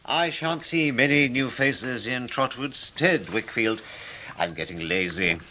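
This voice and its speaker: An actor playing a genial, leisurely elderly schoolmaster in a period radio drama. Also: male voice, medium pitch, measured pace, bright, forceful tone. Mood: genial, contented.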